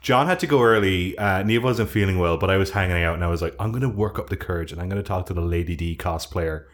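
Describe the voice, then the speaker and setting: A man's voice: sits low, fast. A man with a Dublin Irish accent, co-hosting a podcast and telling rambling, self-mocking stories with plenty of swearing. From Ireland. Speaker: podcast co-host. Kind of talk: casual storytelling chat.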